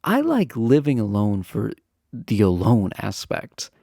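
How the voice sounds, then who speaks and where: Casting: male actor as popular college guy, chatty and teasing, easygoing flirt, unhurried delivery with lively pitch swings, romantic audio roleplay. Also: vocal fry, no room sound, studio quality, heavily acted. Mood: friendly, open. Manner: chatty, teasing, hesitant filler-laden casual phrasing. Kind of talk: romantic roleplay audio acting, casual intimate chat.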